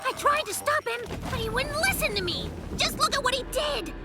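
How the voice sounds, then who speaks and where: An actor voicing a boastful, excitable young bear cub in a children's cartoon, with theatrical, exclamatory delivery. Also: very high voice, brisk, creaky, exaggerated voice. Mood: flustered fibbing. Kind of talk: children's animated cartoon acting. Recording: loud music behind the voice.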